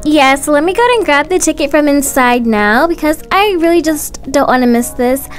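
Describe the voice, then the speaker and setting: Woman, very high voice, family roleplay storytelling, music behind. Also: moderate pace. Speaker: narrator voicing roleplay characters. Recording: music playing underneath.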